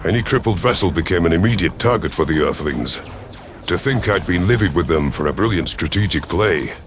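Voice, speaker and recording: Male narrator, low-pitched, dramatic first-person sci-fi storytelling, faint music underneath. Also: lively intonation, fairly quick. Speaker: narrator of science fiction audio stories. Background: background music.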